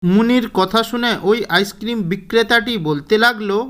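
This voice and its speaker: Casting: female narrator for children's tales, smooth clear voice, middle pitch. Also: moderate pace, a bit bright. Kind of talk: scripted children's story narration.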